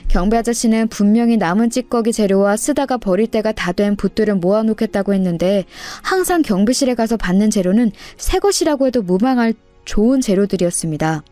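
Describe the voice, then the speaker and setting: A woman's voice: medium pitch, lively intonation, brisk, few pauses, warm tone, soft background music. A woman narrating a scripted listener story in a radio-like style, as the storyteller of the channel.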